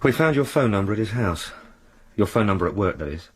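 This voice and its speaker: Casting: measured police sergeant, husky low voice. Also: male voice, animated. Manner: patient, formal, measured. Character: polite police sergeant interviewing a witness. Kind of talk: radio drama acting.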